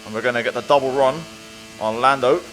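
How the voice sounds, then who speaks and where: A man's voice: medium pitch, fairly even intonation, fairly quick, a bit bright, a little hiss or hum, rough recording. A man giving casual, upbeat gameplay commentary as a video creator.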